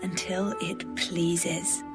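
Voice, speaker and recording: Slow-speaking woman acting a tender young bride, music behind. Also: fairly low pitch, flat delivery. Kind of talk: dramatised audio Bible reading.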